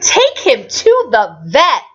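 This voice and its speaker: A woman voicing an exasperated, complaining father in a comic storybook, with loud emphasis. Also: high-pitched, huge pitch swings, takes it slowly, muffled recording, heavily acted.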